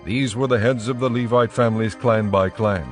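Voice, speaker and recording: A man narrating scripture from a script in a measured, formal storytelling manner. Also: medium pitch, soft background music.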